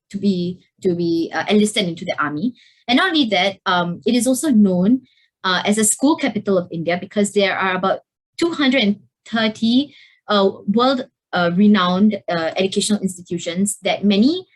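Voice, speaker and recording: A young woman from Singapore, giving a student presentation over slides on a video call and filling her pauses with "uh" and "like". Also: medium pitch. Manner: hesitant, many fillers like "uh" and "like".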